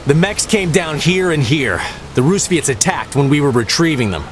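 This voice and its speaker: An actor playing a soldier giving a battlefield report in a video game cutscene. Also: male voice, fairly high pitch, animated, moderate pace, soft background music, close to an everyday voice.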